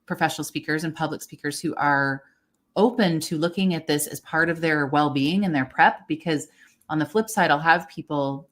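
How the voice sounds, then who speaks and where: A woman speaking as a voice coach, giving encouraging, explanatory advice in a conversational way. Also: low-pitched. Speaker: voice coach, guest expert. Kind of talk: conversational expert advice in a livestream.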